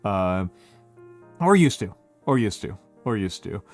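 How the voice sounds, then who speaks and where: A man's voice: fairly low pitch, big pitch swings, unhurried, darkish tone, faint music behind. An American man chatting casually on a livestream, reading out viewer messages and thanking them, rambling with many fillers and repeated phrases. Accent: American English. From United States. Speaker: streamer.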